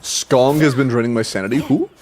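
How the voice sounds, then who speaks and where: A man's voice: mid-range voice, a little fast, darkish tone, a little hiss or hum. A young man streaming a game and chatting casually with viewers, sounding stuffy and congested, rambling with restarts, casual swearing and laughs. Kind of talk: casual livestream chat with viewers.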